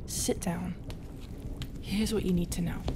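Woman acting a slow, hushed monstrous pirate captain. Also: on the low side, steady tone, very noisy, close to an everyday voice. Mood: wistful, grave. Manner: hushed, often breathy half-whisper, sighing, hesitant pauses. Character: brooding non-human tentacled female pirate captain, proud, guarded. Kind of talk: roleplay ASMR acting.

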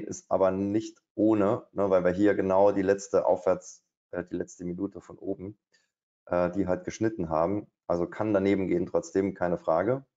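A man's voice: low-pitched, mellow. A middle-aged man doing live trading commentary at his desk, thinking aloud with hesitations and fillers. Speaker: day trader. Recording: dull, lo-fi stream audio.

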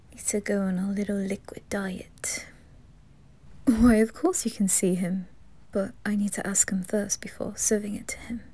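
A woman voicing a polite, attentive male butler in an ASMR roleplay, soft and whispery, with a courteous, deferential manner. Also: mid-range voice, husky.

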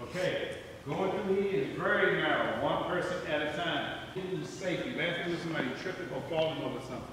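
High-pitched man directing in an echoey theater. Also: quick pace, noisy background. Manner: informal, directive. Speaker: stage play director. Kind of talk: rehearsal instructions to cast and crew. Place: indoor theater auditorium. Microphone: distant handheld camera. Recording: echoey theater, picked up from far off.

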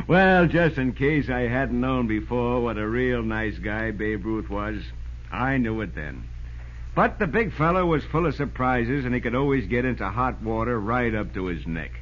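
An actor with an American accent playing an excitable sports reporter, narrating a yarn in a folksy way for old-time radio. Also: male voice, mid-range voice, measured pace, faint music behind, close to an everyday voice. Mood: warm recollection. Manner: folksy, animated storytelling. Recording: noisy, dull old radio broadcast.

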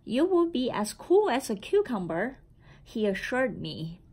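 Woman, high voice, very slow pace, expressive picture-book read-aloud, children's storyteller, deliberate phrasing. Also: husky. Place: indoor room with framed certificates on the wall.

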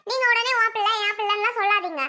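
Woman playing a scolding aunt, very high, bright. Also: rapid-fire, exaggerated voice. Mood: defensive. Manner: scolding, defensive. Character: scolding young aunt, exasperated and self-justifying.

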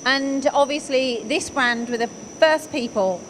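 A woman's voice: sits high, a little slow, noisy background. A woman in her thirties interviewing a workshop owner on a factory floor with a handheld mic, upbeat and asking leading questions. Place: machine workshop indoors.

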